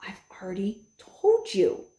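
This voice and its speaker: A woman voicing a dignified, patient grandmother who speaks firmly and deliberately, in a storybook reading. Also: fairly high pitch, expressive, roomy sound, pitched above the performer's own voice. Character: calm, dignified old grandmother, patient and firm.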